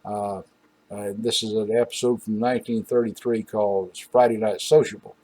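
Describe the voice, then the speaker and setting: An older man hosting an old-time radio show, reminiscing casually in a folksy, halting, rambling way with asides. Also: fairly low pitch, little pitch movement, warm tone, low-quality recording.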